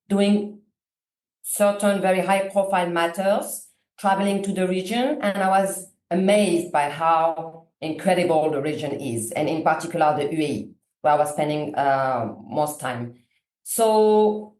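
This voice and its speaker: A woman with a French accent answering interview questions as a guest lawyer, with frequent pauses and fillers. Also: on the low side, a little mellow, roomy sound. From France. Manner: hesitant, many fillers, careful phrasing.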